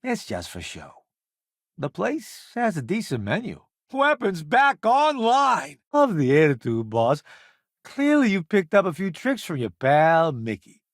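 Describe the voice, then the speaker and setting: Game companion: middle-aged male food critic and crewman, flippant and theatrical, higher-set voice with swooping intonation, dry close recording. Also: dry recording. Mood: combat shouting. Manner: wry, flippant, theatrical, slangy. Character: jaded, showy middle-aged food critic turned hired space crewman. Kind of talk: video game companion dialogue.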